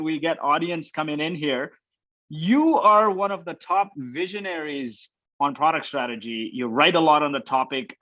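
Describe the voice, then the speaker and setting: A man's voice: fairly high pitch, pushed and bright, dry recording, lo-fi sound. A man hosting a business interview, introducing a guest and asking questions. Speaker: interview host.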